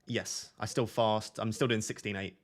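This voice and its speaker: A young man hosting a live stream, chatting casually with his viewers in a flat, monotone, self-deprecating way. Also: lowish voice, normal speed. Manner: self-deprecating, chatty, reads out chat messages. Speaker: livestream host.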